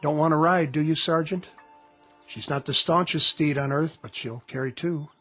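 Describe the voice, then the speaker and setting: A man playing a gentle, knowing Union officer, calm and measured in a radio drama. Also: on the high side. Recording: drama with faint music underneath.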